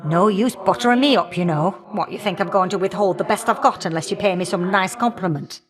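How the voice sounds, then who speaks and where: A woman's voice: mid-range voice, a little fast, crowd noise, natural voice. A woman voicing a feisty, folksy elder goddess of flame in a video game, sassy and affectionately teasing. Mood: wry, chummy. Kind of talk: video game character dialogue.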